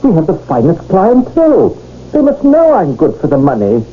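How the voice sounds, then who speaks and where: An actor playing an earnest, mild London shopkeeper husband in a radio drama, hesitant and pleading, with stammers. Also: male voice, fairly high pitch, wildly swooping pitch, normal speed, husky, phone-quality sound, heavily acted. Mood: distressed, bewildered. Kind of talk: 1970s radio drama acting. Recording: thin, noisy old radio broadcast.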